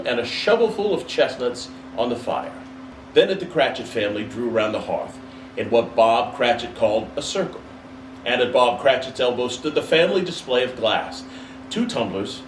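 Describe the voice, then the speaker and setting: Male narrator for literary storytelling; animated delivery, recorded in a roomy space away from the mic. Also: medium pitch. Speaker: storybook reader. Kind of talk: expressive dramatic literary narration. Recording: roomy, distant mic, faint background noise.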